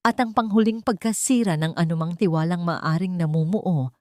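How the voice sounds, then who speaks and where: A Filipino woman reads a sentimental family drama as a scripted narration. Her voice sits a little low, and the sound is dry, with very little room around it. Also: normal speed, darkish tone, no echo. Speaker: story narrator.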